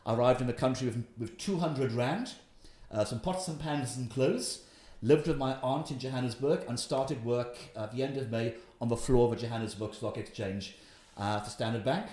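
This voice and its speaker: A Welsh-accented man in his fifties recounts his career as an interview guest, with animated, emphatic delivery. His voice sits at an ordinary middle pitch. The recording carries a noticeable roomy echo from a room in his home. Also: normal speed. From Wales. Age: 50s. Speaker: investment guest. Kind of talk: storytelling in an interview.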